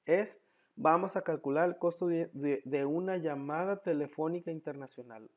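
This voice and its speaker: A man's voice: a little high, a little mellow, dull audio. A man giving a step-by-step screen tutorial as a programming instructor, asking questions and answering them himself in a didactic way.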